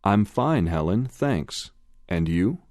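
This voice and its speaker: A man reads a polite speaker in a language-course dialogue. His voice is very low and he reads slowly and deliberately. The sound is dry and close. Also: lively intonation, darkish tone, dry recording. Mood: plain polite. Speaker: dialogue reader in an English lesson. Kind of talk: slow scripted textbook dialogue.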